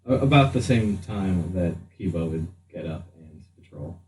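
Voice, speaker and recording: A man with a low voice narrates a tabletop roleplaying game as its game master. He improvises haltingly, sitting away from the microphone with a little noise around him. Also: lively intonation, a little mellow, far from the mic. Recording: roomy, off-mic, faint room noise.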